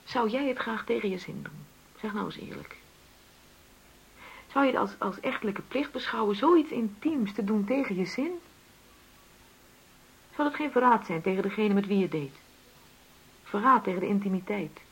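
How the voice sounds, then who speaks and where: An actress playing a hurt, argumentative middle-aged wife in a Dutch radio play, with a pointed, insistent way of questioning. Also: female voice, mid-range voice, normal speed, long pauses, slightly rough, poor audio. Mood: insistent reproach.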